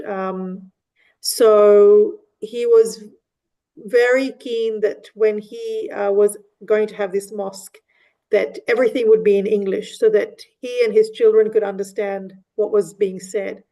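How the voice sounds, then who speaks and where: A woman speaking in a relaxed online discussion, hesitant with many fillers and restarts. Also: medium pitch, measured pace, low-quality recording. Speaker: mosque community member. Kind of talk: casual discussion. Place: online meeting.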